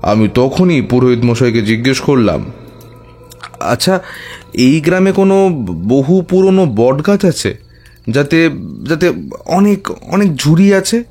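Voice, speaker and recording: An actor playing a tantric who recounts his own eerie adventures in a suspenseful horror audio story, with hesitant pauses. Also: fairly high pitch, lively intonation, dark tone, soft background music. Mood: pondering, suspenseful.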